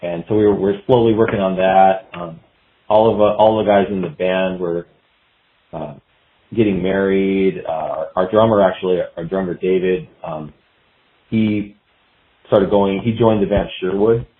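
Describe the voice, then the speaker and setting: A man with a low voice talks casually as an interview guest. He rambles reflectively in a halting, loose way. The sound is thin and phone-like, with a roomy echo around him. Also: fairly even intonation. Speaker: guest musician, guitarist. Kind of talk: casual podcast interview answers.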